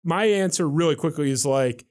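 A man hosting a studio talk show, asking quick questions and teasing his guest. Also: a little high, darkish tone. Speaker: host. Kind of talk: casual chat. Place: radio studio.

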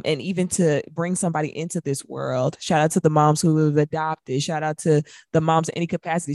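An American woman, roughly in her thirties, co-hosting a radio show with casual talk about music. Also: low-pitched, a little mellow, no echo, studio quality.

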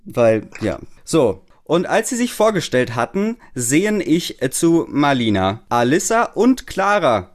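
A man's voice: a little high, sing-song, slow pace. A man reading a fan story aloud to friends, with mock-serious commentary and bursts of laughter.

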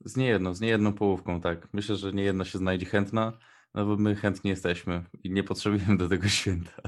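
A man's voice: low-pitched, level tone, a little fast. A young man co-hosting a radio show in casual chat, with informal, hesitant phrasing. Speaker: radio co-host.

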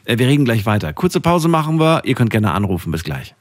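A man hosting a late-night radio call-in show in German, chatty and given to laughing with his callers. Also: fast talker. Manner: chatty, laughs often, lively pitch swings. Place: radio studio.

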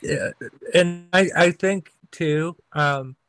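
A man's voice: on the high side, slow, a bit bright, dry recording. Stuttering man hosting a podcast. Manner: stutters, profane and agreeable. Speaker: podcast host. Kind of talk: casual chat over a video call. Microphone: video call.

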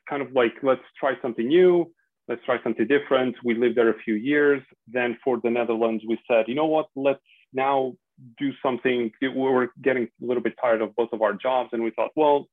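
A man's voice: medium pitch, no echo. A man around forty from Portugal, a podcast guest speaking earnestly and reflectively with frequent restarts. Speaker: podcast guest, security specialist. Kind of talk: casual interview answers. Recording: tinny phone-like line, dry.

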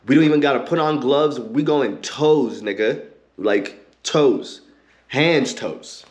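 A man hosting his own podcast, ranting heatedly and cursing a lot, with an occasional stutter and pauses filled with um and like. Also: mid-range voice. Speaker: podcast host. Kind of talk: ranting commentary.

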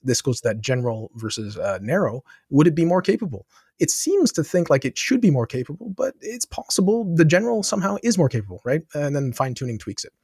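Fast-talking husky-voiced man. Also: a little high, sing-song, darkish tone, dry recording, studio quality. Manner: thoughtful, rambling with many asides and restarts. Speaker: podcast guest. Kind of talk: conversational interview answers.

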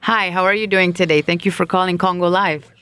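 A man's voice: high voice, fairly even intonation, fairly quick, sharp tone, smooth, dry recording. A man hosting a live call-in show, speaking to the callers.

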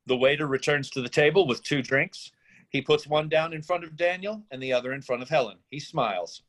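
A man's voice: on the high side, fairly quick, fairly bright tone, rough recording. Man narrating screenplay stage directions. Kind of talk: scripted narration, screenplay reading.

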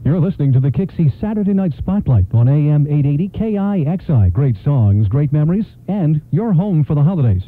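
A male station announcer reads a promo with a slightly high, animated voice. The broadcast has a thin, phone-like band with faint music underneath. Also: a little fast, few pauses.

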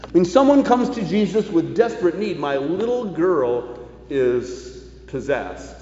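A man preaching a sermon in a deliberate, emphatic way, with rhetorical phrasing. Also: on the high side, very animated, dark tone, a little hiss or hum. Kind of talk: sermon, partly reading quoted news aloud. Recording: echoey church hall, slightly dull sound.

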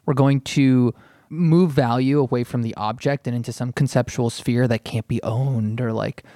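A man's voice: medium pitch, fairly even intonation, normal speed, warm tone, dry recording, studio quality. A man talking as a critic in a casual panel discussion, opinionated and emphatic. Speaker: podcast panelist, art critic.

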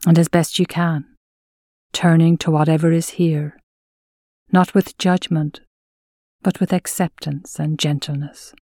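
Slow, low-pitched woman guiding meditation. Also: little pitch movement, dry recording, clean studio sound. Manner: gentle, soothing, measured with long pauses. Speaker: meditation guide. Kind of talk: guided mindfulness meditation narration.

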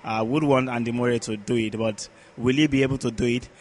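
A man giving conversational sports analysis as a podcast pundit, halting and repetitive as he searches for words. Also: medium pitch, steady tone, a little mellow.

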